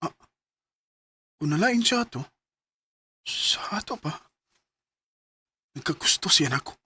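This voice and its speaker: A man's voice: on the high side, sing-song, takes it slowly, sharp tone, slightly rough, no room sound, heavily acted. A man playing a cocky, easily flustered young student in a radio drama, with a Cebuano Filipino accent. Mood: puzzled.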